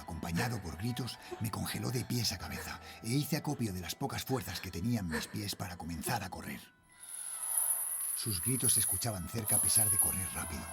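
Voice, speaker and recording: A man playing a frightened father and night guard, telling his horror story in a Spain accent with tense, dramatic delivery and hushed phrase endings. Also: on the low side. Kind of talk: scripted first-person horror narration, audio drama.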